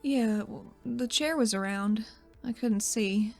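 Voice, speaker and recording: A woman playing a guarded, uneasy female character in a tabletop game, halting and understated. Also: fairly high pitch, darkish tone, faint music behind. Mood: shaken, defensive. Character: guarded, uneasy woman returning to active duty.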